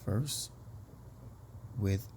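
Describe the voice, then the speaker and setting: A man leading a guided meditation as a teacher, speaking in a soothing, deliberate way with drawn-out phrases and pauses. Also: darkish tone, a little hiss or hum. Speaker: meditation teacher and podcast host. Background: background music.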